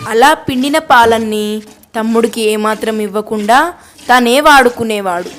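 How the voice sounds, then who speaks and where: Female narrator for children's moral tales, slow and fairly high, music beneath. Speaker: storyteller. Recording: music under the voice.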